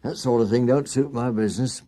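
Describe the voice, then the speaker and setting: A man's voice: mid-range voice, a little fast, mellow, voice pushed up, natural voice. Man doing a callous, blunt cab-horse boss, raised voice. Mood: callous bluntness. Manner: blunt, unfeeling, working-class speech. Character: hard, callous, penny-pinching male cab-horse owner.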